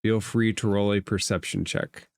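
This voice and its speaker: A man narrating a tabletop role-playing game as game master, with a measured, halting delivery. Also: on the low side, flat delivery, vocal fry, darkish tone, dry recording, studio quality.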